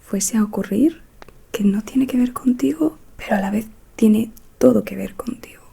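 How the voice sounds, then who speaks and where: Breathy whispering woman doing ASMR witch roleplay. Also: on the high side. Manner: slow, hushed, soothing delivery. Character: calm mysterious witch fortune teller who speaks with spirits. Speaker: ASMR performer.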